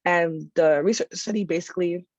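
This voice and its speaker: A woman's voice: lowish voice, normal speed, dry recording, lo-fi sound. Young woman giving a casual class talk. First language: Haitian Creole and English from childhood. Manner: casual, lots of fillers like "um" and "like", self-correcting. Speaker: student presenter. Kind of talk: class presentation.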